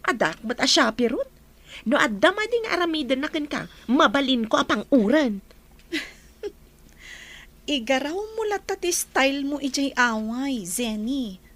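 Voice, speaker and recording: High-pitched, sassy young woman. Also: fairly bright tone, heavily acted. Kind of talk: Ilocano radio comedy drama acting.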